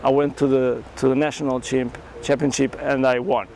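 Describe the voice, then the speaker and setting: A man with a Portuguese accent and Portuguese as his first language, telling his story in halting English as an interview guest. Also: mid-range voice, level tone, darkish tone, a little hiss or hum. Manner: earnest, halting non-native English with many restarts. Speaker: karate champion and entrepreneur, guest.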